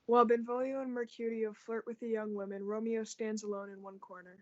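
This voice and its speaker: Female student narrator, fairly high voice, scripted stage reading, lo-fi sound. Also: flat delivery, fairly quick, mellow, no room sound. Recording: dull lo-fi audio.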